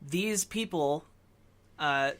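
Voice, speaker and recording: A man's voice: on the high side, very slow, a bit bright. A man co-hosting a podcast, discussing film casually and thinking aloud as he explains. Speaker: podcast co-host.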